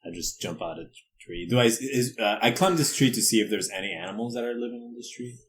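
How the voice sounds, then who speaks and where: A man's voice: on the low side, lively intonation, fast talker, a little hiss or hum. A man playing a tabletop game, chatting casually and speaking for his character in a hesitant, playful way.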